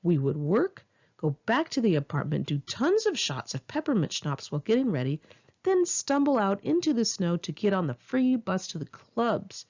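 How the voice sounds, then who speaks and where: A woman's voice: medium pitch, big pitch swings, normal speed, darkish tone, dull audio. A woman reading her own memoir aloud in an informal audiobook style, candid, wry and conversational. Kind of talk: informal first-person audiobook narration with asides.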